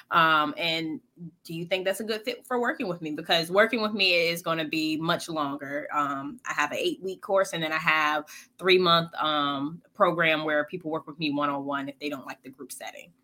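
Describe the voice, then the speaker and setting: A woman answers interview questions in a bright, fairly low voice. She explains things earnestly and plainly, with plenty of ums and likes. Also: normal speed. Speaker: financial coach guest.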